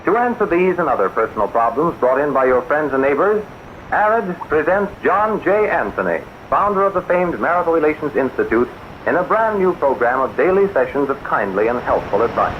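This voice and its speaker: A man's voice: fairly high pitch, sing-song, fairly quick. A man announcing a 1940s radio advertisement in a formal, dramatic style. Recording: muffled, noisy vintage radio recording.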